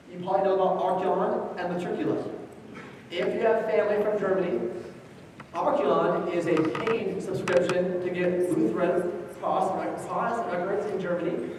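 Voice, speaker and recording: A man's voice: high voice, darkish tone. A man presenting an informal instructional lecture at a conference, speaking conversationally in short, choppy phrases. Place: large echoey indoor room, live talk. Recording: echoey hall, distant mic, faint noise.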